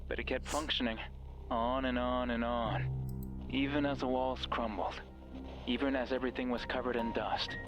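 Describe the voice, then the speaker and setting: A man performs a sinister guide from a horror visual novel. He speaks at a middling pitch, measured and ominous, coaxing the listener onward. Music plays clearly behind him. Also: steady tone, close to an everyday voice, low-quality recording. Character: mysterious controlling male radio voice guiding a listener, sinister. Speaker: guide character in a horror game.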